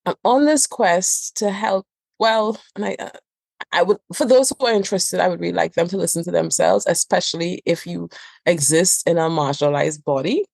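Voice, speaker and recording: A woman's voice: lowish voice, moderate pace, creaky, darkish tone, no echo. A woman from Trinidad and Tobago with a Trinidadian accent, speaking as a therapist in a podcast interview, earnest and emphatic, repeating phrases for stress. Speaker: couples therapist and trainer. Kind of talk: reflective podcast interview talk.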